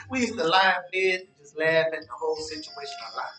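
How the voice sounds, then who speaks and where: A man's voice: fairly high pitch, animated, fairly quick, sharp tone. An actor playing a sly, gossipy, mischievous man in a stage play, spinning folksy anecdotes in an American accent. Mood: amused, wistful. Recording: echoey stage, distant mic, music.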